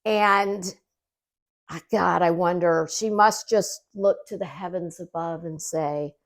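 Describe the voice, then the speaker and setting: A woman's voice: on the low side, steady tone, takes it slowly. A woman giving casual gossip commentary in a sarcastic, mocking way, as the host of her own online show.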